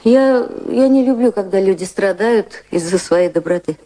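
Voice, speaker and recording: An actress playing an anguished, proud high-society lady in a radio drama, with a dramatic, troubled manner. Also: animated, moderate pace, mellow, natural voice. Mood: restrained.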